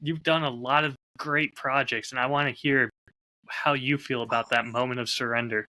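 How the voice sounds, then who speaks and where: A man's voice: mid-range voice, fairly even intonation, normal speed, bright, forceful tone, poor audio. A man chatting casually and candidly as a podcast host, openly self-critical about his creative work. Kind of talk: casual chat.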